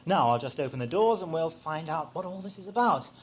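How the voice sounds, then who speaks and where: A man's voice: fairly high pitch, lively intonation, a little fast, a little hiss or hum, natural voice. A man playing an eccentric, absent-minded alien time traveller in an audio drama, with a British accent and a playful, musing, quaintly polite manner. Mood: jaunty, whimsical. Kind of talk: amateur audio drama acting, 1980s. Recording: 1980s audio drama with music behind.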